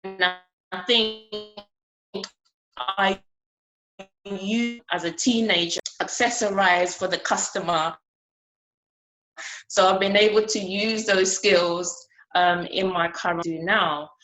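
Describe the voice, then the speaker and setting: A woman from Ghana speaking at a conference about her art, in an earnest, conversational way with frequent pauses. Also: medium pitch, steady tone, a bit bright, low-quality recording. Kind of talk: conference speech.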